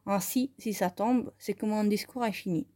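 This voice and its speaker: Calm woman reading. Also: mid-range voice, moderate pace. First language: Italian. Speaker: photography student presenting her work. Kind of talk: reading a scripted self-interview.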